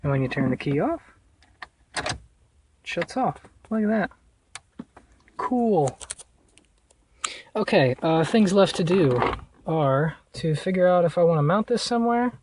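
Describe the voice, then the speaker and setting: A man giving a casual hands-on how-to in an informal, halting way, thinking aloud as he goes. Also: high voice, lively intonation, background noise. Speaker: vlogger showing a car radio install.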